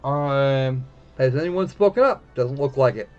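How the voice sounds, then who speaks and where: A man hosting a casual game live stream, talking in a rambling, halting way and repeating himself as he goes. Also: mid-range voice, lively intonation, a little mellow. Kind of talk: casual live-stream commentary while setting up a visual novel. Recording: dull lo-fi mic with music behind. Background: background music.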